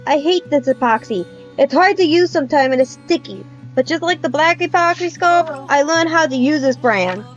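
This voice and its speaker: Woman, highish pitch, informal craft narration, playful, self-deprecating, dull sound, faint background music. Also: animated, normal speed. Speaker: craft video creator.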